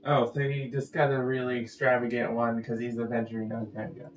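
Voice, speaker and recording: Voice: medium pitch, monotone, a little fast. A game streamer giving casual, playful commentary full of silly jokes over gameplay. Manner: goofy, playful. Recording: echoey, muffled audio with faint music.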